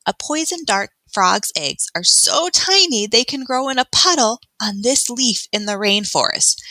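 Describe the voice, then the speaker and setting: A woman teacher reading an educational book aloud for children, adding explanations in a clear, expressive, instructional way. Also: mid-range voice, no room sound. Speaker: elementary school teacher.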